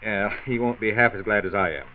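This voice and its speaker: An actor playing an earnest factory manager in a 1940s radio drama. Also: male voice, on the low side, brisk, natural voice. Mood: relieved, resolute. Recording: muffled, band-limited 1940s radio recording.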